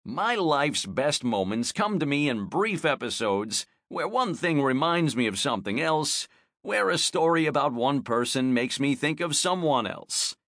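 A man narrates a memoir audiobook with a fairly high voice for a man and a wry, self-mocking humor. He is close on the mic, and the recording is very dry, with no room sound at all. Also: sing-song, unhurried, fairly bright tone, dry recording. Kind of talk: first-person memoir read aloud.

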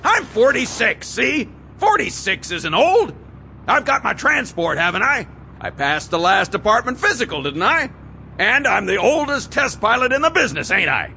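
A big, bullying aircraft boss in his forties bellows in a high, wildly swooping voice with a gravelly edge. His tone is bright and forceful, and every line comes out as an indignant roar. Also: big pitch swings, normal speed, slight background noise, full character voice. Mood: furious blustering. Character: big blustering 46-year-old male aircraft boss.

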